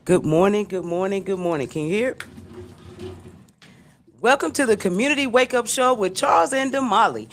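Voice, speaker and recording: A hoarse woman co-hosts a casual morning radio chat from a studio. Her pitch swings widely and her talk stays lively and chatty. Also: normal speed, pauses often, a little hiss or hum. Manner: lively, chatty, laughs often. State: hoarse from allergies. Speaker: radio co-host.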